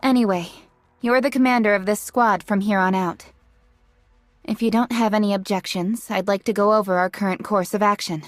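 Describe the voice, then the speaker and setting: A woman's voice: mid-range voice, fairly even intonation, normal speed, dry recording, faint music behind, close to an everyday voice. A woman playing a businesslike young female android squad leader in a video game, dutiful and curt, speaking formally and with composure. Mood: briefing. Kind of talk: English video game voice acting.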